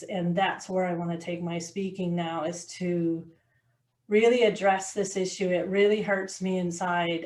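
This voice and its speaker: A middle-aged woman, a podcast guest talking earnestly and from the heart, often restarting her sentences. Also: medium pitch. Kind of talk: casual interview conversation.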